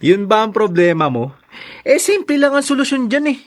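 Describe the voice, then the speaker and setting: A man's voice: high-pitched, very animated, normal speed. A man playing a mischievous, cheeky friend in a Filipino radio drama, with a Filipino accent. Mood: teasing. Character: mischievous, cheeky friend full of silly schemes.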